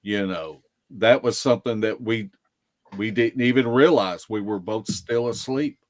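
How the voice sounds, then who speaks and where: A man telling stories casually as a podcast guest, in a folksy, unhurried way that circles back on itself. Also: lowish voice. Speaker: podcast guest, field investigator. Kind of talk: casual storytelling.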